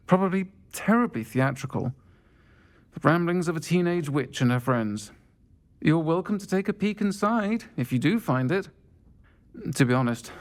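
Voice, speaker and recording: An actress playing a warm, wistful middle-aged pub landlady witch in a video game, with a British accent and a gentle, conversational manner. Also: sits low. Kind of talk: video game cutscene dialogue.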